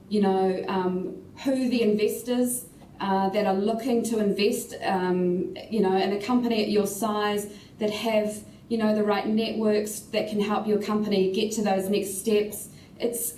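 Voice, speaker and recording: A woman with a New Zealand accent speaks as a panelist in a measured way, often filling pauses with "you know" and "um". Her voice is dark and mellow. It comes from a distance in a roomy, echoey space, with faint noise behind it. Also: mid-range voice. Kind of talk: casual panel discussion.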